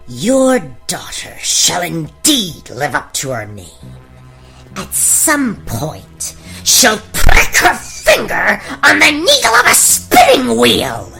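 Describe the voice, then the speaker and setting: A sassy, vengeful fairy witch voiced by a woman in a comic fairy-tale audio play. Her delivery is melodramatic and sarcastic, unhurried and wildly varied in pitch, with music clearly playing behind her. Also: pushed and bright, heavily acted. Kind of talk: gag-dub parody fairy-tale radio play.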